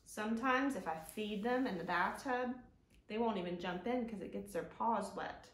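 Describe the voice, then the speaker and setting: A woman in her thirties hosting a children's storytime, speaking in a gentle, sing-song, drawn-out way to young viewers. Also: mid-range voice. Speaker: library storytime host. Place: living room, indoors, on a couch. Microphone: camera a few feet away. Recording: roomy echo from camera distance.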